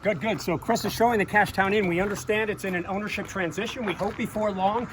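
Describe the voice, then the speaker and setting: A high-voiced man tells history stories in a chatty way, wandering into asides as he talks. He is outside by a road, with faint traffic noise in the background. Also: steady tone, fast talker. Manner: chatty, storytelling, rambling asides. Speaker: tour co-host. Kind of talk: outdoor battlefield tour talk. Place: outdoors by a road, beside an old inn.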